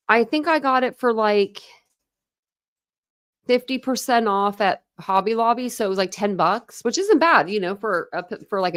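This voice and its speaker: A chatty woman hosts a loose true crime livestream and wanders between topics with quick asides. Her accent blends the American Midwest and South, her pitch sits in a middle range, and the sound is dry and close. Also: no echo. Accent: mixed Midwest and Southern American. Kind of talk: casual livestream chat reading comments.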